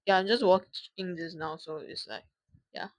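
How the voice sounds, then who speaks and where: A woman's voice: fairly low pitch, fairly even intonation, moderate pace, very noisy. A young woman from Singapore giving casual reaction commentary, informally talking back to the video she is watching.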